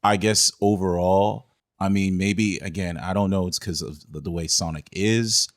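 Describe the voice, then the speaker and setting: A man's voice: sits low, steady tone, moderate pace. A man hosting a casual podcast discussion about films, speaking in a conversational way. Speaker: podcast host.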